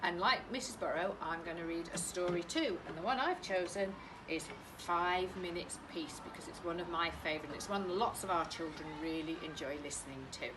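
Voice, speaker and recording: A British woman, a primary teacher, reading a picture book to young children with expressive, carefully phrased delivery. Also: on the low side, normal speed, background noise.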